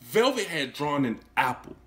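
A man in his thirties, a kindergarten teacher, reading a picture book aloud to children in a small room, close to a phone camera. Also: normal speed. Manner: expressive storytelling with lively swings in pitch and volume; warm, encouraging talk to young children. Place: small indoor room, seated on a couch.

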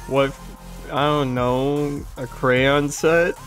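A woman's voice: a bass voice, steady tone, very slow, soft background music. A young woman gamer in casual chat over a game, with sweary, joking banter.

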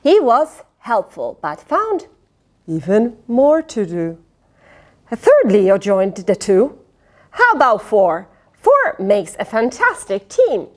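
A middle-aged woman reads a children's story aloud in a fairly high voice. She takes her time, swinging widely in pitch and speaking expressively to the young listener. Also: takes it slowly. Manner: expressive, dramatic, with gasps, sighs and questions to the listener. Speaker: storyteller reading a picture book aloud. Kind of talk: children's read-aloud with playful asides. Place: indoors, small fabric tent with cushions. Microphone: lapel.

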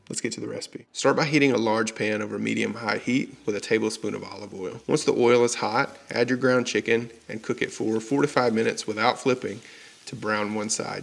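A man in his thirties calmly walking through a recipe step by step as a cooking channel host, recorded in a home kitchen on a studio mic. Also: lowish voice, vocal fry, husky. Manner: friendly, measured instructional delivery. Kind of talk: scripted recipe walkthrough. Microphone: studio mic on stand in frame.

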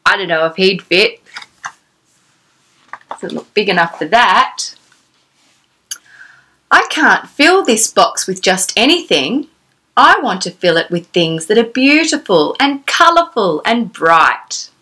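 A woman's voice: medium pitch, sing-song, slow, long pauses, pushed and bright. A woman in her thirties presenting a children's storytime, reading aloud in an exclamatory, sing-song way and putting questions to the young listener. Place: small decorated room indoors.

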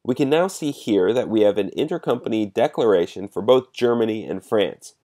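Low-voiced man narrating a software demo. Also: expressive, brisk. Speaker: software demonstrator.

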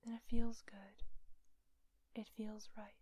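A woman's voice: mid-range voice, flat delivery. A woman playing a coaxing, manipulative villain captor, whispering soothing hypnosis instructions in an ASMR roleplay. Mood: hypnotic, calming. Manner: hushed, breathy whispering, slow, coaxing instructions with long pauses.

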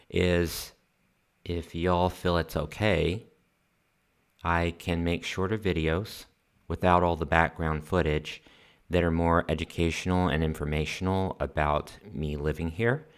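An American man narrating his vlog in an earnest, friendly, calm voice-over. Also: low-pitched, takes it slowly. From United States. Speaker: vlogger. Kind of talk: voice-over narration over scenic footage.

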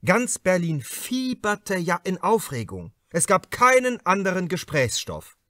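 A man narrating a crime novel as an audiobook, in measured, deliberate phrases with dramatic emphasis. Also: sits high, sing-song, bright, forceful tone, no echo, studio quality.

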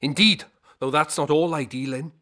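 A sly village trader for a fantasy game, with a fairly high, sharp and forceful male voice. He sounds folksy and shrewd, always haggling. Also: moderate pace. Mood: boastful, haggling. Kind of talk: video game character acting.

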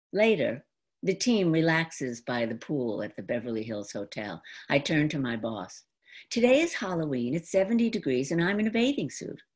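An American woman in her seventies performing an autobiographical monologue in deliberate, dramatic phrases with pauses. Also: sits low, breathy edge. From New York, United States. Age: 70s. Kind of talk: theatrical autobiographical storytelling monologue. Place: indoor room at home. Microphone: computer mic on a video call.

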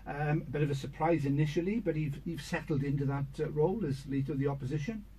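A middle-aged man hosting a local radio show from a small studio, friendly with brisk presenter patter. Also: fairly high pitch, warm tone, slight background noise, low-quality recording. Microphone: studio boom mic.

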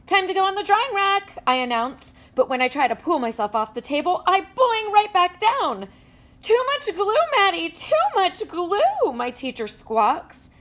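A woman reading a children's picture book aloud with animated storytelling and playful sound effects. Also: very high-pitched, measured pace. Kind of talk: expressive read-aloud. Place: indoors at a table with a book.

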